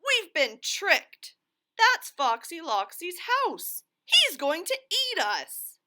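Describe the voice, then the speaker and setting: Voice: very high-pitched, wildly swooping pitch, slow, bright, forceful tone, exaggerated voice. A woman voicing a small, earnest, anxious female seabird in a read-aloud storybook. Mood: panicked wailing. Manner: earnest, exclamatory.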